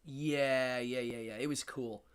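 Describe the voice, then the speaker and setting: A man's voice: mid-range voice, unhurried, smooth. A man with a nasal voice hosting a casual live-stream interview, telling stories with lots of laughter. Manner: animated storyteller, laughs often. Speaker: host. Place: New York City.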